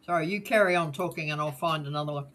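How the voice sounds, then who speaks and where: A woman's voice: sits low, fast talker, fairly bright tone, roomy sound, a little hiss or hum. Laid-back New Zealand woman, mixed accent. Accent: mixed Scottish, Australian and New Zealand. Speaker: podcast co-host. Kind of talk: casual live podcast chat.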